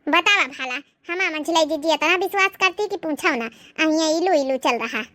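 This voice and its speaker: A woman's voice: high-pitched, normal speed, sharp tone, no echo. A woman voicing dramatic, plaintive village housewives in a comedy cartoon, speaking with a rustic Awadhi accent. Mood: scandalized.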